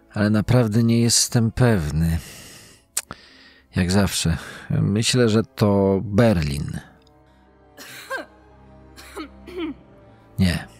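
Slow, hesitant quiz contestant, acted by a man. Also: fairly low pitch, no room sound. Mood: hesitant, wavering. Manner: hesitant, thinking aloud. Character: hesitant, muddled male quiz show contestant. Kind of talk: dramatized reenactment.

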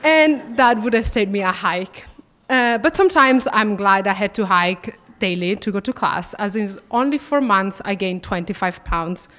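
A young woman in her twenties with an Italian accent, a student athlete giving a prepared speech at a podium, warm and gently self-deprecating. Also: mid-range voice, lively intonation, normal speed. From Italy. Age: 20s. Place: large banquet hall, at a podium. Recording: reverberant banquet hall.

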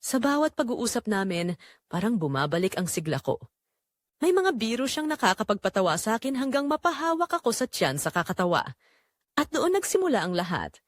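A woman's voice: on the high side, very animated, a little fast, dry recording, clean studio sound. A woman playing a guilt-ridden married mother confessing a secret affair, narrating in the first person in an emotive, confessional manner. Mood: tender, longing.

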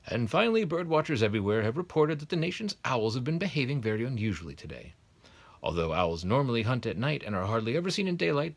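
A man voicing a male TV newscaster from a storybook, with a bright, brisk broadcast delivery. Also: mid-range voice, voice pushed up. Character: male TV newscaster, smooth and chipper.

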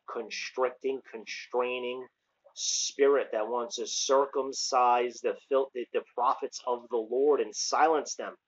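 A man's voice: medium pitch, lo-fi sound. A middle-aged American man preaching informally as an evangelist, exhorting emphatically in colloquial language into a device microphone on a covered porch. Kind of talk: informal online sermon with scripture readings. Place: outdoors on a covered house porch. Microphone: phone or webcam at arm's length.

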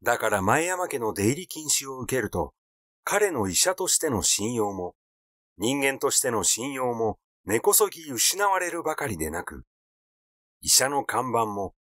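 A man reading a literary story aloud as a scripted, measured narration. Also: mid-range voice, sing-song, a bit bright, no echo, studio quality. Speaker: audiobook reader.

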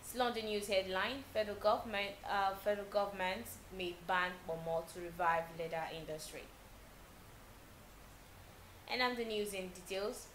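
A young Nigerian woman reading a news bulletin as a TV newsreader, steady and measured with small slips. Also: mid-range voice, echoey room, slight background noise, poor audio. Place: TV news studio.

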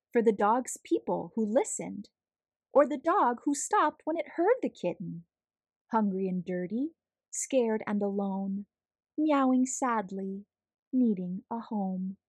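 A woman reading a children's picture book aloud as a storyteller, in a deliberate, expressive, measured way. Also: mid-range voice, no echo. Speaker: read-aloud storyteller, host.

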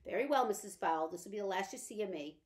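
A woman voicing a cool, calculating boy genius who stays polite to his mother. She keeps his lines measured and restrained. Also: medium pitch, expressive, a little mellow, natural voice. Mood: subdued.

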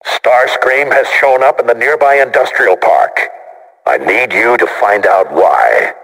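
An actor voicing an authoritative robot leader giving orders in a video game. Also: male voice, medium pitch, a little slow, a bit bright, husky, long reverb tail, rough recording. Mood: commanding.

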